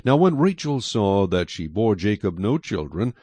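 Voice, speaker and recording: Expressive low-voiced man narrating scripture. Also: normal speed, dry recording. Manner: storytelling, expressive.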